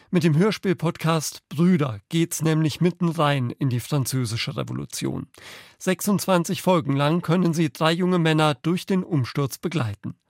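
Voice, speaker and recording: A man with a fairly high voice hosts a radio podcast. He presents from a script, recorded dry and without room sound. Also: no room sound. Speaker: podcast host.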